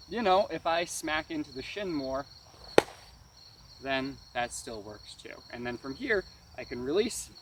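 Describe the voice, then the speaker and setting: Distant-miked male martial arts instructor outdoors. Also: medium pitch. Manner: checks understanding often, punctuates moves with exclaimed sound words. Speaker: karate weapons instructor. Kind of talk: casual hands-on demonstration lesson. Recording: distant mic, noisy outdoor ambience with insects.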